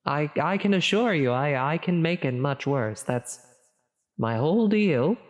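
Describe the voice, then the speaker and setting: A theatrical female demon acted out by a woman speaks in a long, cavernous reverb. She draws her words out very slowly, with grand, formal phrasing and a halting delivery. It is styled as an ASMR audio roleplay. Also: sits low, expressive, muffled recording. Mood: puzzled, thrown off, then offended, boastful. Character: menacing female demon, grandiose, increasingly flustered and concerned.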